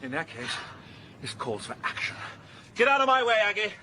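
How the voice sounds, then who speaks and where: High, strained, agitated man. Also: very animated, normal speed, pushed and bright, slight background noise, heavily acted. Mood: strained, sarcastic. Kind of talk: radio drama acting.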